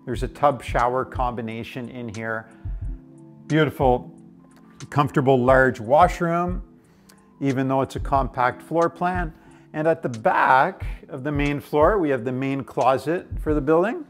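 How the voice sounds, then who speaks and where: Male presenter, forties, informal and keen explainer for a property walk-through, medium pitch, light music bed. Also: a little slow. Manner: enthusiastic, explanatory. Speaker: presenter, house tour guide. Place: furnished house interiors and outdoor deck.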